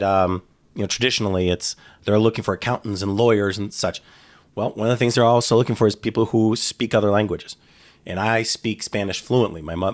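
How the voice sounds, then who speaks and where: A middle-aged man talking casually in an interview, rambling with frequent restarts and fillers. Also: sits low. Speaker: guest, former FBI agent.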